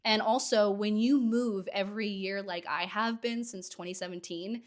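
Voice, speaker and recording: A woman's voice: medium pitch, steady tone, moderate pace. A woman in her thirties from Southern California hosting a casual solo podcast, chatty and enthusiastic, her voice still recovering from a cold. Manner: chatty, enthusiastic, with short laughs. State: getting over a cold. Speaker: podcast host.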